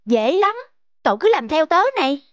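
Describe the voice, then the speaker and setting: Woman voicing an earnest, high-voiced cartoon boy. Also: very animated, moderate pace, no echo, highs cut off. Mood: encouraging. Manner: earnest, encouraging. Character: kind, earnest, helpful young boy. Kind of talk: cartoon dubbing.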